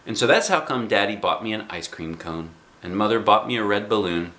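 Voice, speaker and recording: A man in his forties reading a children's book aloud expressively, with dramatic pauses and emphasis. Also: on the low side, animated, sharp tone. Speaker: storybook reader. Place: small living room indoors.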